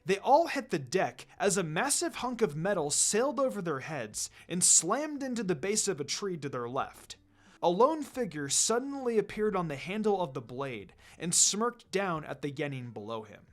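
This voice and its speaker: A man's voice: high voice, sing-song, normal speed. A man reading a story aloud as a dramatic narrator, with music behind him. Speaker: fan fiction reader. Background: background music.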